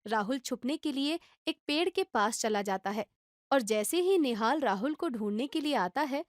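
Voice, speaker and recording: A woman reading scripted storybook narration for a children's cartoon in an even storytelling way. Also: high-pitched, moderate pace, dry recording, studio quality.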